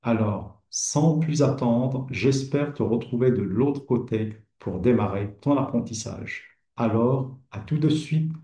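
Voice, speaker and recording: Middle-aged male teacher, persuasive promo read, mellow tone, echoey room. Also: mid-range voice, slow pace, few pauses. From Kabylie, Algeria. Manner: addresses the listener informally, calm and persuasive. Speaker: Arabic teacher and platform founder. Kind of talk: scripted promotional presentation.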